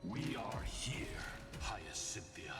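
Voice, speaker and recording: Deep, echoing ancient spirit guardian. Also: male voice, expressive. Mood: grave, reverent. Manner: slow, measured, grave. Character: ancient solemn male spirit guardian, patient and grave. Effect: ghostly reverb. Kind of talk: solemn scripted game dialogue. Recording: echoing, distant, music behind. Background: game music.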